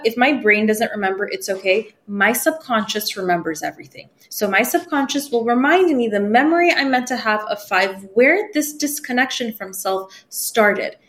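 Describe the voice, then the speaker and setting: A woman's voice: fairly high pitch, fairly bright tone. A woman whose was Farsi, telling personal stories as a podcast guest and acting out the voices she quotes, laughing easily. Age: 30s. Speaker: podcast guest, spiritual medium. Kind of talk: casual interview storytelling.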